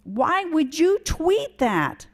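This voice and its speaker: A woman's voice: high-pitched, very animated, extremely slow, voice pushed up, exaggerated voice. Woman playing a blunt, fed-up lady vulture. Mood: upset. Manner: blunt, exasperated. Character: sensible, fed-up female vulture.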